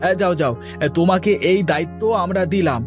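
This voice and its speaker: A man's voice: high voice, a little fast, over music, lightly acted. A man voicing a bossy but well-meaning eldest brother of a village household in a cartoon, speaking with authority. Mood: decisive. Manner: authoritative, decisive. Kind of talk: cartoon dialogue.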